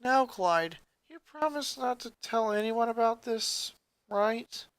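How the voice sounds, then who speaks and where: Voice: medium pitch, expressive, takes it slowly, dry recording, pitched above the performer's own voice. A performer voicing an earnest, hurt young boy in a fan fiction story reading. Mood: uneasy.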